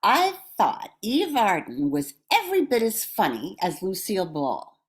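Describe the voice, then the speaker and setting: A woman's voice: medium pitch, huge pitch swings, measured pace, slightly rough. An older woman reading a scripted personal reminiscence as a member of a radio show cast.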